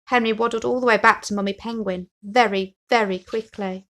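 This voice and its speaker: A woman narrates a children's storybook. Her voice sits at a medium pitch, and she reads expressively, telling the tale for young listeners. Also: steady tone, brisk, fairly bright tone. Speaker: story reader.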